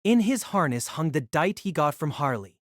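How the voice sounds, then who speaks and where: A man narrating an audio drama in scripted storytelling style, expressive and engaged. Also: moderate pace, no room sound, clean studio sound. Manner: expressive storytelling with lively pitch.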